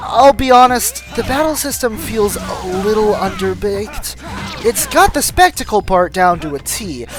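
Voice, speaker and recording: Dryly funny video essayist over music, mid-pitched voice. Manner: dry, self-deprecating humour. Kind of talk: scripted video game review narration.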